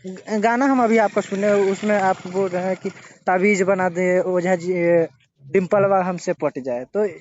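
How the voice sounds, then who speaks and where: A man arguing haltingly as he questions someone in a confrontational phone interview, often cutting in to press his point. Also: high-pitched. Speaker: interviewer questioning a singer over a phone call. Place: phone call recording. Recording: dull lo-fi recorded phone call.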